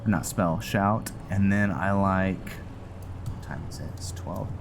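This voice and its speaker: Low-voiced man rambling over gameplay. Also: over music. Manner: rambling, halting, thinking aloud. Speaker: gameplay video host and role-player. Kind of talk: casual gameplay commentary. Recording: clear background noise, game ambience. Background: noise and video game ambience.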